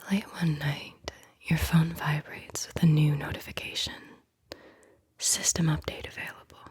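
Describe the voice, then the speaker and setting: ASMR host: woman, low breathy whisper, playful and teasing, close mic. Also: flat delivery, measured pace, a little mellow. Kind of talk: whispered ASMR guided visualization.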